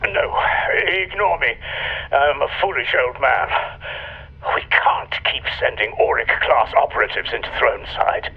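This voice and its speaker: An actor playing an irascible, haughty old military commander with a theatrical, explosive manner in a game cinematic. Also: male voice, medium pitch, animated, a little slow, slightly rough, lo-fi sound. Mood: bitter, angry. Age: older.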